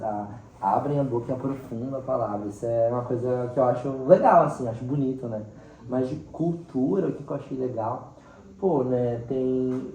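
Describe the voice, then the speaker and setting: A man's voice: medium pitch, warm tone. A man with a central-western Brazilian accent talking casually and expressively as a teacher being interviewed, sprinkling in little acted quotes. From Mato Grosso do Sul, Brazil. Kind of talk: casual, animated storytelling in interview. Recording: roomy, distant mic, faint background noise.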